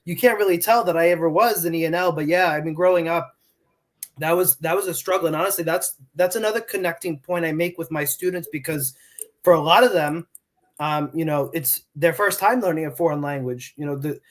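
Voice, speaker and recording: Earnest young man, slightly high voice. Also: a little fast. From New York, United States. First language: Portuguese. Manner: earnest, with many fillers and restarts. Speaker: middle school Spanish teacher. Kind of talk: casual interview answers.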